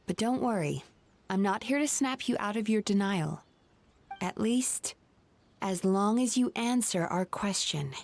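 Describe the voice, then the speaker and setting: A woman's voice: mid-range voice, a little slow, a little mellow, close to an everyday voice. A woman voicing a caring, composed young female therapist in an anime game, articulate and polite but firm. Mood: thoughtful. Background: faint background music.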